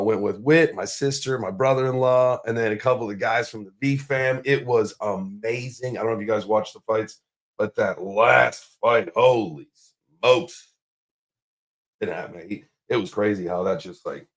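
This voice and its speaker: A man hosting a casual crypto livestream. His voice is raspy and hoarse, and he talks in upbeat hype with lots of filler words. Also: fairly low pitch, moderate pace. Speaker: livestream host.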